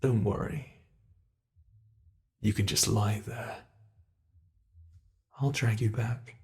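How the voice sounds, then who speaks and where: A man acts out a centuries-old male monster on the hunt in an ASMR roleplay. The voice is fairly low and full of gravelly fry, delivered very slowly. He is possessive and theatrical, savoring each taunt with haughty, gleeful menace. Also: steady tone. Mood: grudgingly gentle.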